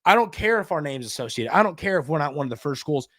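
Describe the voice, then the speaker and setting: A man's voice: on the high side, lively intonation, fast talker, fairly bright tone, no room sound, clean studio sound. A man hosting a sports podcast, giving conversational commentary in an emphatic way and repeating phrases for stress.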